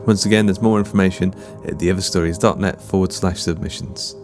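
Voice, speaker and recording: A man's voice: deep voice, steady tone, quick pace, a little mellow. A man introducing a podcast as its host in a playful, whimsically wordy manner over music. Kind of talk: scripted show introduction.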